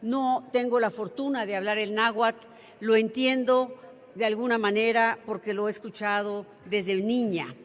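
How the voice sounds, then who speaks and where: A woman's voice: fairly high pitch, steady tone, measured pace. A woman senator from Mexico with a Mexican accent, giving a formal floor speech in an earnest, emphatic oratorical manner. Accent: Mexican Spanish. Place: large legislative chamber. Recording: reverberant legislative chamber.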